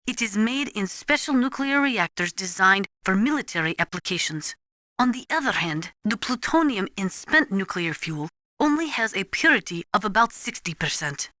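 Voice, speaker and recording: A woman's voice: medium pitch, sharp tone, no room sound, clean studio sound. A woman voicing a serious, knowledgeable nuclear weapons analyst in a video game, speaking formally and precisely in scripted, lecturing explanations. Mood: serious, explanatory. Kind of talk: scripted expository game dialogue.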